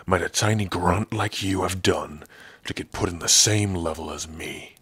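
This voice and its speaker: A man voicing a big, gruff orc prisoner in an ASMR roleplay, brooding and sardonic, with a husky, rough voice. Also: deep voice, moderate pace, a bit bright, no echo, exaggerated voice. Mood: menacing, bitter. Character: big gruff male orc prisoner, guarded but lonely. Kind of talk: ASMR roleplay addressed to the listener.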